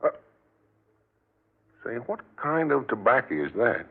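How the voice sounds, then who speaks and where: A chatty country filling-station attendant in a vintage radio play. His mid-pitched voice swings up and down freely, folksy, rambling and curious, over a tinny, narrow-band old recording. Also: sing-song, a little slow, vocal fry, husky, full character voice. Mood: puzzled, curious. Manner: folksy, rambling, plain-spoken. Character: folksy, curious, talkative male rural gas station attendant. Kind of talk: 1940s radio drama acting.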